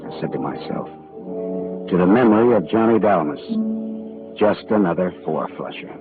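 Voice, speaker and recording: A man's voice: sits low, animated, normal speed. An actor playing a hard-boiled male private detective, narrating in a dry, laconic 1940s radio drama style. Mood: wry. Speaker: lead actor. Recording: tinny old radio broadcast with loud music.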